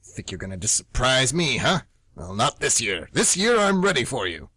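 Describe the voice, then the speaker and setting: A man's voice: medium pitch, big pitch swings, normal speed, bright, forceful tone, slightly rough, no room sound, heavily acted. Man playing a paranoid, militaristic history teacher. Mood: paranoid, urgent. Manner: clipped, militaristic, condescending. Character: frantic paranoid male history teacher, drilling like soldier. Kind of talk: amateur radio comedy acting.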